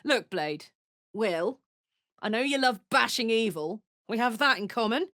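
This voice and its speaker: A woman voicing a brash, warm-hearted and rowdy female adventurer in a video game, with blunt, informal and expressive delivery. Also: a little high, unhurried, dry recording, clean studio sound. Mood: casual, teasing.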